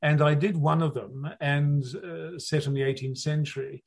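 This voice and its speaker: A man's voice: on the high side, moderate pace, vocal fry. A man in his sixties, an audiobook narrator, telling rambling anecdotes in an interview with lots of restarts. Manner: rambling, restarts often, animated storytelling.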